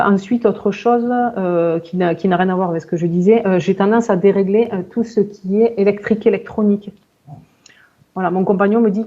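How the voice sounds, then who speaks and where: A woman in her forties giving a halting personal account in conversation, searching for words and often repeating herself. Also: medium pitch, mellow, lo-fi sound. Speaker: client in a hypnosis session interview.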